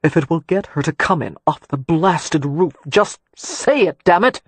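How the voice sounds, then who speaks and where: A woman's voice: sits low, lively intonation, a little slow, husky, dry recording, heavily acted. A woman voicing an exasperated, impatient man.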